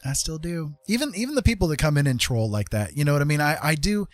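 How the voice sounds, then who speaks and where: A man's voice: on the high side, very animated, fast talker, no echo, studio quality. A man hosting a livestream, talking in a casual, unscripted, rambling and conversational way. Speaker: live streamer hosting a chat stream.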